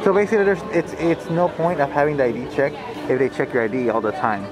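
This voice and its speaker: A woman's voice: low-pitched, fast talker, crowd noise. A woman chatting casually outdoors as the companion on a vlog. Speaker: vlog companion behind the camera. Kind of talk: casual chat. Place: outdoors at night in a theme park. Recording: music playing behind.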